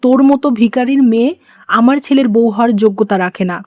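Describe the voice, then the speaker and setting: A woman's voice: fairly high pitch, quick pace, dry recording, muffled recording, close to an everyday voice. A woman voicing a greedy, domineering middle-aged mother-in-law in a moral-story cartoon, scolding and pushy. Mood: scornful, threatening.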